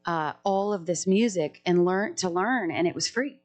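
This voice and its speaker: A woman's voice: lowish voice, moderate pace. A woman, a singer-songwriter, chatting in a casual podcast interview and jumping from thought to thought with many restarts.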